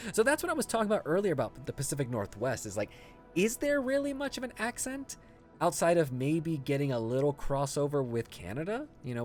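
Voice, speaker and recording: Animated man chatting on a game stream. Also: medium pitch. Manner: easygoing, chuckles often while talking. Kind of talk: casual livestream chat, reading viewer comments aloud. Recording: music playing behind the voice.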